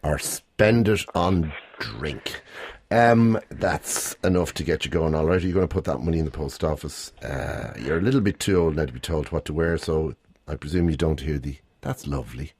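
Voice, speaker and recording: Teasing Irish radio host, husky. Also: male voice, a bass voice, moderate pace. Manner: teasing, jokey, lively. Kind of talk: casual radio chat. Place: radio studio.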